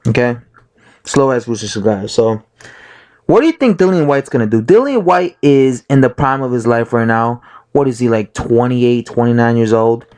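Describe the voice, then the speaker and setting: A man talks casually with a hoarse, slightly sick voice. He rambles through his opinions as a boxing vlogger. He stops to correct himself and fills gaps with "uh" and "like". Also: medium pitch, lively intonation, moderate pace, a little mellow. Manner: rambling, full of fillers and self-corrections.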